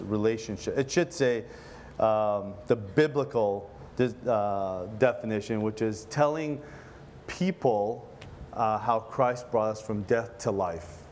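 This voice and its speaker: A man from Lebanon preaching in a church service, praying and then teaching in a relaxed, earnest way, often pausing and restarting his sentences. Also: fairly low pitch, a little hiss or hum. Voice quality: relaxed, filler-heavy, earnest delivery with frequent self-restarts. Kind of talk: casual conversational sermon with prayer.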